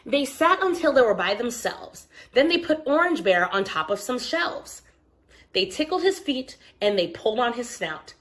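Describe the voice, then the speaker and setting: A woman telling a story to young children in an expressive, engaging way, with asides to her listeners. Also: fairly high pitch, moderate pace.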